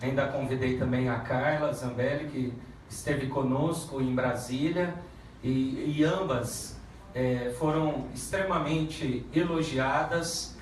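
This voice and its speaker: A man who is a federal deputy giving a halting public speech at a meeting, with many restarts and fillers. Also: mid-range voice, slight background noise. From Paraná, Brazil. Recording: echoey room from far off.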